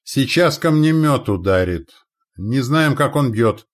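A man voicing an artillery commander who shouts commands in the heat of battle in an audiobook. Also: mid-range voice, animated, slow pace, pitched above the performer's own voice. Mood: alarmed warning.

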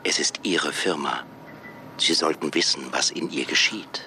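An actor in a radio drama playing an unflappable AI system that asks formal, pointed questions as a job applicant. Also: male voice, rumbling low, unhurried, sharp tone, a little hiss or hum, exaggerated voice. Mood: probing, composed.

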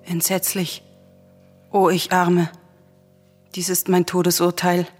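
A woman's voice: fairly low pitch, monotone, takes it slowly, a little mellow. An anguished young princess in a Greek tragedy radio drama. She laments bitterly in declaimed verse, her voice ringing in a long hall reverb over faint music. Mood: despairing. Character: grieving, embittered young princess, defiant daughter.

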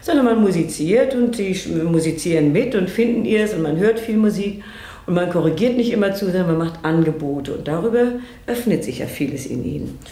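A woman's voice: fairly low pitch, dark tone, echoey room. A woman talking reflectively in an interview as a music and rhythm teacher.

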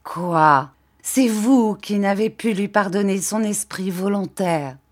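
Lady narrator doing bitter scarred woman from an old novel, furious n vengeful, bossy accusing, slow heavy delivery. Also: fairly low pitch, a little mellow. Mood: fierce reproach. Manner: accusing, imperious, insistent commands and reproaches. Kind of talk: dramatic audiobook acting of a classic novel.

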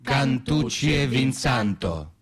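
An actor playing a dogged responder in a mock religious litany for radio theatre, chanting short replies. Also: male voice, mid-range voice, expressive, slow, breathy edge.

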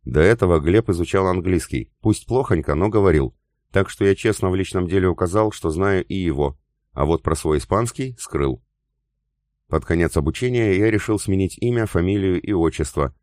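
A man reading a novel aloud in the first person, with even, unhurried storytelling. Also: sits low, no echo, studio quality. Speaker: audiobook narrator. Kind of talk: first-person scripted narration.

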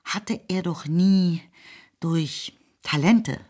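A woman narrator reading literary prose for a radio play in a measured, expressive storytelling manner. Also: fairly low pitch. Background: faint music.

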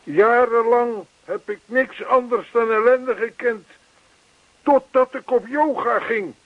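A man's voice: very high-pitched, takes it slowly, no echo, heavily acted. A man playing an earnest, chatty older neighbour in a radio play, persistent, reassuring and long-winded. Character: earnest, chatty older male neighbour zealous about yoga. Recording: thin, phone-like old radio play recording.

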